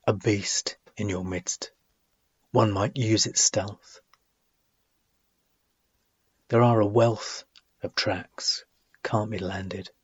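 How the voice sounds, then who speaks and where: A man giving a solemn poetry reading, deliberate and measured, with dramatic phrasing, long pauses and husky, breathy phrase endings. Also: fairly low pitch, no echo, muffled recording. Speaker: poet reading own work.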